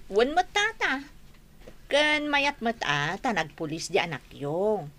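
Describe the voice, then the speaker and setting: A woman's voice: mid-range voice, lively intonation, takes it slowly, pushed and bright. An actress playing an embarrassed, disapproving woman who chides an old man in a radio comedy drama. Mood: puzzled.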